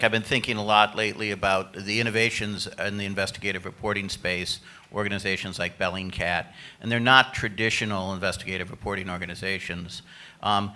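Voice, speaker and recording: A man stands in the audience and poses a reflective question, stumbling a little at the start. His low voice carries a bright, forceful edge. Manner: reflective, slightly halting. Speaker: audience member asking a question.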